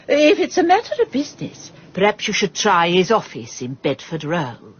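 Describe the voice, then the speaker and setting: An anxious, middle-aged household cook in a radio play. Her voice is husky and rises and falls freely. She is deferential yet chatty, and the recording is a little dull. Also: on the low side, huge pitch swings, normal speed, heavily acted. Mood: fretful, flustered. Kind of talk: radio drama acting.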